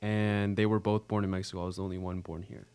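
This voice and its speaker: Late-teens male, American accent, very clear smooth tone, casual interview guest, earnest and slightly halting. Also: sits low, flat delivery, darkish tone. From Arizona, United States. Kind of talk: casual chat.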